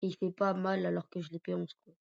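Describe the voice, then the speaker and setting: A man's voice: high voice, completely flat delivery, quick pace, gravelly. A man with a cold and a sore throat, rambling hesitantly through casual commentary over his gameplay. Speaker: gaming YouTuber. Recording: dull lo-fi recording.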